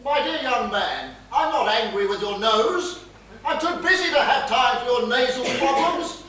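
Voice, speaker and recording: A self-important, dismissive general in a stage comedy played by a man, with an unusually high voice for a male. He exclaims curtly and pompously. The recording is distant and swimming in echo, with faint noise. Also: lively intonation, measured pace. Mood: impatient, dismissive. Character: pompous, impatient, dismissive married male general, senior official. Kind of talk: farcical amateur stage comedy. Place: small theatre stage, far from mic. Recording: distant, very echoey, hissy theatre recording.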